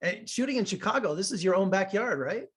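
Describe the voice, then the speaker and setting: A man from Las Vegas, a film critic, interviewing an actor. He talks casually and warmly praises the cast, with many fillers. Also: high voice, expressive, fairly quick. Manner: effusive and complimentary, with fillers.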